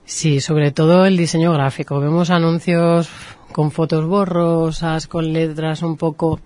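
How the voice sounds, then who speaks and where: A woman's voice: deep voice, unhurried. Spanish woman explaining marketing tips. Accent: Spanish from Spain. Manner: explains patiently, with lively examples. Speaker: marketing professional guest. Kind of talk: explanatory interview talk.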